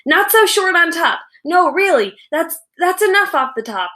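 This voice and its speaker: A woman's voice: very high-pitched, sing-song, moderate pace, pushed and bright, voice pushed up, exaggerated voice. A woman voicing an exasperated young boy in a children's storybook, emphatic and pleading in manner. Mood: urgent pleading. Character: exasperated boy dreading a bad haircut.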